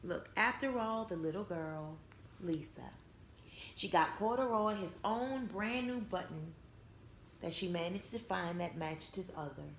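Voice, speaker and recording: A woman reading a storybook aloud to young children, expressively, pausing to retell and explain it in simple words. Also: on the low side, lively intonation. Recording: echoey room with some background noise.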